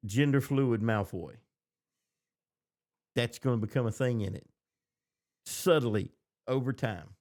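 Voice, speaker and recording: A man in a casual podcast chat, informal and opinionated, often halting as he searches for his words. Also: medium pitch, a little mellow. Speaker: podcast co-host. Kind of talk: casual fan discussion.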